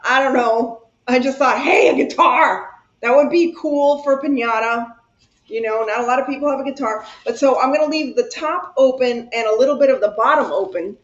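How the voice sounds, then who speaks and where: A high, chatty woman hosts a craft session and rambles freely about whatever comes up. She laughs easily. The room is echoey and the sound dull and lo-fi. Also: fairly quick. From Cuba. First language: Spanish. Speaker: craft channel host. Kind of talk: casual chat while crafting.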